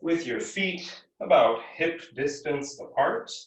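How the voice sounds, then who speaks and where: A man leads a yoga class in an echoey room, picked up from a distance. His mid-pitched voice moves slowly through gentle, measured instructions. He leaves frequent pauses between short phrases. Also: animated, slight background noise. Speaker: yoga teacher.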